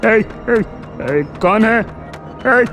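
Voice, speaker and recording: A performer playing a jumpy, teasing young male gang sidekick in an animated horror story. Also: mid-range voice, drawn-out words, soft background music, full character voice. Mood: jumpy, alarmed.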